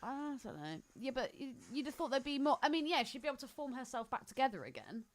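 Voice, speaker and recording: A woman's voice: high voice, very animated, fast talker. A woman co-hosting a podcast, bantering informally about films in a teasing, opinionated way. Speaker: podcast co-host. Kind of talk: casual film banter.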